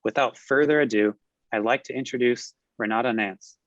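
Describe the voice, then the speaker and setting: A man hosts a business webinar, reading housekeeping announcements and a speaker introduction from a script. His delivery is smooth and even. The sound is dry and slightly muffled, as if the audio were a little dulled. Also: mid-range voice, moderate pace, dry recording. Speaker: webinar moderator.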